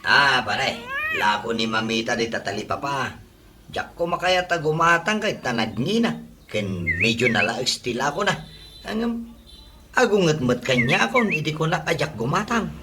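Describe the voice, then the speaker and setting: A man's voice: mid-range voice, sing-song, moderate pace, background noise, natural voice. An actor with an Ilocano accent playing a hard-up, apologetic man among drinking friends in a comedy radio drama.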